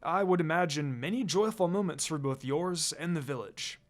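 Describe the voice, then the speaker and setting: A fanfiction reader voicing a weary old village leader who speaks slowly and sighs. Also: medium pitch, close to an everyday voice.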